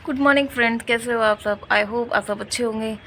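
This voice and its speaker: A woman in her thirties with a slightly hoarse voice, chatting casually in a home vlog. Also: a little high, steady tone, a little fast, fairly bright tone, poor audio. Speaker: home vlogger and mother. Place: home, rooftop lawn and indoor rooms. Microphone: phone at arm's length or nearby. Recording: phone recording with background noise.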